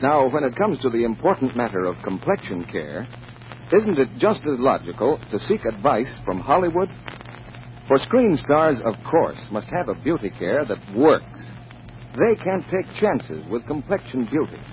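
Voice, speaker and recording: A man's voice: mid-range voice, sing-song, moderate pace. A man announcing in polished, persuasive 1940s radio advertising style, as the show's sponsor voice. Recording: muffled, noisy vintage radio recording.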